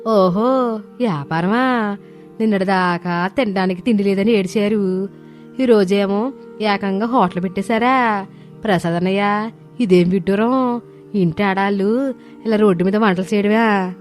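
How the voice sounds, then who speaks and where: A woman voicing a nosy, sharp-tongued older village woman. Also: medium pitch, a little slow, long pauses, faint music behind, lightly acted. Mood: suspicious, mocking. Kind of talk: dramatised moral story dialogue.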